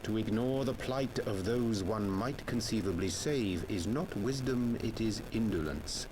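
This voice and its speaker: An actor playing a solemn, scholarly conspirator in a fantasy game, speaking in measured, formal, archaic language. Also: male voice, on the low side, noisy background, close to an everyday voice. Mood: solemn, persuasive. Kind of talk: theatrical fantasy video game acting.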